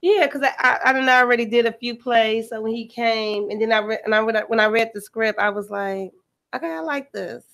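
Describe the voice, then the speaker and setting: A woman's voice: fairly high pitch, fairly quick, gravelly, a bit bright. An American woman chatting casually as an interview guest, informal and emphatic. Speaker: guest actress and rapper.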